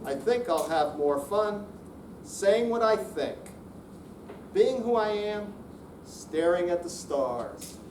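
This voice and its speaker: High-voiced older man, very slow and lilting. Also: expressive. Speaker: amateur readers theater performer. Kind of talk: dramatic reading of humorous verse. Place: indoor senior center room, live performance. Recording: roomy, distant mic, noisy hall.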